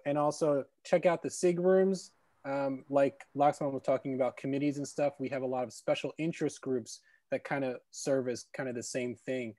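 A man's voice: medium pitch, dark tone, no room sound. A man moderating a conference session over a video call, friendly and full of fillers, talking on an earphone mic at home. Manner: friendly, announcing, many fillers. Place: home room with bookshelves.

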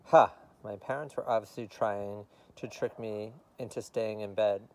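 A man's voice: fairly low pitch, steady tone, a little slow, slight background noise. Man reading a children's story. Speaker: read-aloud reader.

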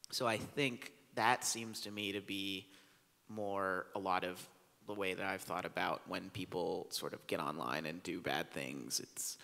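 A man's voice: low-pitched, gravelly, bright, forceful tone. A man speaking on a panel discussion in an auditorium, hesitant, with many fillers and restarts, into a handheld mic. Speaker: panelist, journalist. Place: large auditorium stage. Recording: long hall reverb.

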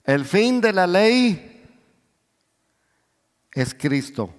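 Slow, high-pitched preacher. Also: male voice, big pitch swings. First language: English. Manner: preaching with many hallelujahs and calls for amen; moves about and gestures on stage, voice swells to near shouts. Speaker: pastor. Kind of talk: sermon. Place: large church stage. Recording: long reverb of a big church hall.

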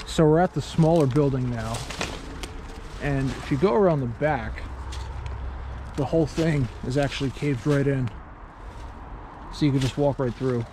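A man's voice: medium pitch, unhurried, pauses often, background noise. A man casually narrating a walk through an abandoned train station, musing and thinking aloud as he points things out. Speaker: urban explorer vlogger. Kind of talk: casual on-location walkthrough narration.

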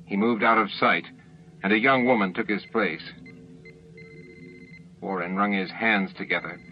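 A man's voice: on the low side, fairly even intonation, measured pace, highs cut off. A man reading a novel as an audiobook narrator, in dramatic scripted narration. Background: faint music.

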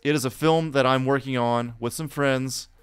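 A man's voice: medium pitch, fairly even intonation, normal speed, smooth, dry recording. A man co-hosting a podcast, reading scripted narration. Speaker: podcast co-host.

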